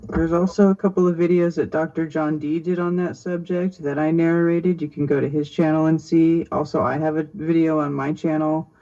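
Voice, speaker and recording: Male channel narrator, monotone and mellow; distant, dull lo-fi sound. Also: on the high side, normal speed, few pauses. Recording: dull lo-fi recording, speaker far from the mic.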